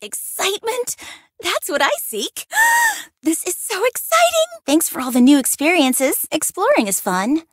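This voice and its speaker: A woman's voice: extremely high pitch, big pitch swings, measured pace, dry recording, clean studio sound, exaggerated voice. An actress playing a cheerful, friendly young woman bard in a video game, bubbly, folksy and adventurous. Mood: excited, friendly. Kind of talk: animated video game character lines.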